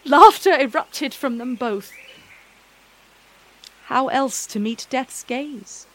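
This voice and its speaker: A woman narrating a scripted fantasy story in a measured, dramatic storytelling manner. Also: high-pitched, a little hiss or hum.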